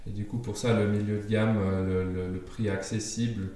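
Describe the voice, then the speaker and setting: Man, low voice, hesitant with fillers, casual home interview, very echoey room, mic set back. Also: level tone, moderate pace, mellow, distant mic. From Switzerland. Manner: hesitant, many fillers and restarts. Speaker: furniture designer interviewed at home. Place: his apartment.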